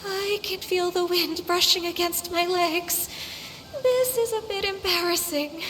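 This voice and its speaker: Casting: modest, polite young heroine, very high, gentle delivery for a fantasy game. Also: female voice, unhurried, a little hiss or hum. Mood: shy, surprised. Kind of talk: video game voice acting. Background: music.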